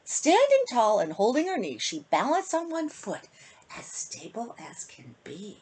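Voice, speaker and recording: A woman reads a children's picture book aloud in a fairly high voice. Her melody swings widely in a sing-song storytelling style. The tone is breathy, with phrase endings that often drop softly. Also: wildly swooping pitch, unhurried, poor audio. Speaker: author reading own picture book to children.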